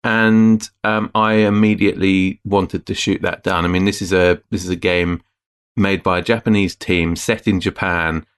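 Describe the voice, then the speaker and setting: Low-voiced podcast dude, opinionated and rambly. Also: male voice, steady tone, normal speed, smooth. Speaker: podcast co-host. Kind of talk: casual game discussion.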